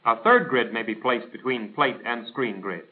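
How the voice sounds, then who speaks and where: A man's voice: mid-range voice, moderate pace. An American man reading a scripted instructional narration in a formal, precise, didactic manner, in the style of a 1940s military training film. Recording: tinny band-limited 1940s film soundtrack.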